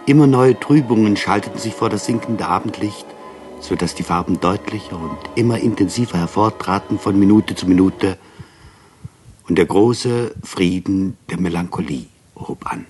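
Low male voice reading lyrical prose. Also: moderate pace, darkish tone, soft background music. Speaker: reader of literary prose. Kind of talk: lyrical first-person literary reading.

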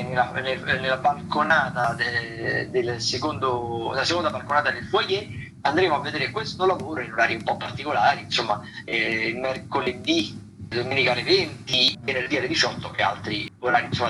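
A man hosting a radio theatre show, talking unscripted through listings in a halting, wordy way, often repeating and correcting himself. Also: a little high. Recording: noisy radio broadcast sound.

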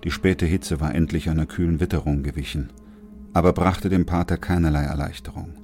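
An actor playing a grave, composed doctor who narrates a chronicle in a radio play, sober and measured. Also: male voice, sits low, fairly even intonation, darkish tone, faint music behind.

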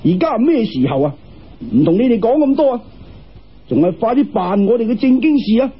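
一个男人用粤语口音扮演严肃而有慈悲心嘅道士师傅，语气似教训人又有威严，录音发闷。. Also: male voice, very high voice, sing-song, fairly quick, husky, exaggerated voice. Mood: commanding. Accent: Cantonese. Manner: lecturing, solemn, commanding. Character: stern but merciful Taoist master with flying sword. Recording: dull, muffled radio-style recording.

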